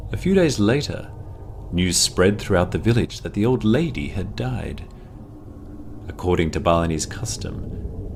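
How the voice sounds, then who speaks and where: A man narrating a scripted horror story in a dramatic, suspenseful way, drawing out his pauses. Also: deep voice. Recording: music under the voice. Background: music.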